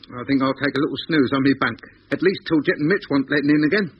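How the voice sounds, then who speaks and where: A man acting a chirpy, excitable Cockney spaceship radio operator in a 1950s radio drama, with matey, slangy speech. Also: mid-range voice, quick pace, close to an everyday voice. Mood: easygoing. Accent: London Cockney. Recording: dull, noisy 1950s radio recording.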